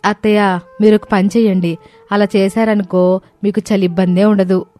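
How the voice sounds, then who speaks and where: A woman's voice: medium pitch, level tone, moderate pace, dark tone, faint music behind, natural voice. A woman voicing a young, practical daughter-in-law in a cartoon story, with an emphatic manner. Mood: advising.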